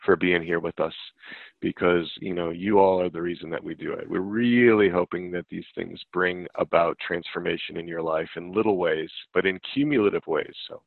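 A man co-hosting a conversational podcast, earnest and thoughtful, speaking in a measured way. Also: deep voice, steady tone, gravelly, dry recording. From United States. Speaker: podcast co-host, psychologist. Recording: phone-like remote call line.

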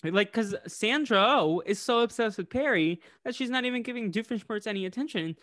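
A man co-hosting a podcast, recapping a cartoon episode in casual chat, animated and laughing often. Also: sits high, brisk, dry recording, studio quality. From United States. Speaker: podcast co-host.

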